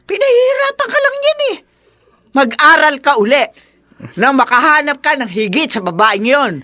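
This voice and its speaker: A woman's voice: sits high, big pitch swings, normal speed, sharp tone, full character voice. A woman acting a spiteful, scolding older mother in a Filipino radio drama, sarcastic and nagging with a Filipino accent. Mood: nagging, scheming.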